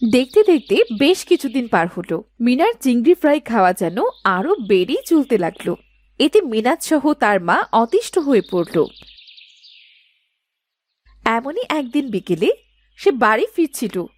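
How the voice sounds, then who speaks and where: High-voiced woman narrating a cartoon story. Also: very animated, measured pace.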